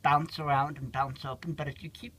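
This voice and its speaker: A man's voice: fairly high pitch, flat delivery, brisk. Rambling man on a noisy handheld recording. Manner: rambling, repetitive, explanatory. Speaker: vlogger showing his motorhome. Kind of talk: casual, unscripted handheld tour talk. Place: small motorhome bathroom, indoors. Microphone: handheld camera or phone, held by speaker. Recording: noisy amateur handheld camera audio.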